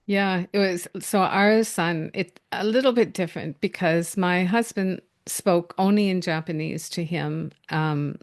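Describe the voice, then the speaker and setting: Lower-voiced woman host, gently affirming. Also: measured pace, few pauses. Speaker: podcast host. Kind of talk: interview conversation.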